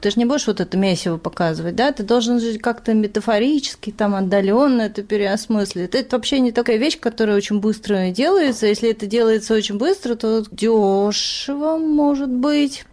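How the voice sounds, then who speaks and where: A woman's voice: mid-range voice, a little mellow. A woman speaking as an interviewed artist, candid and self-deprecating, in a reflective conversational style.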